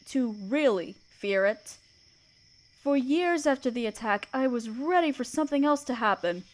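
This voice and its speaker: A woman acts out a regal spider queen with a fairly high, soft voice. She is motherly and protective, with an old sorrow behind her. Her delivery is deliberate and soothing, made for a comforting roleplay audio drama. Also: lightly acted.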